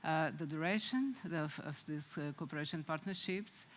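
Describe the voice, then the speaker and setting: A woman presenting at a conference, halting with many fillers and restarts. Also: low voice, a little hiss or hum.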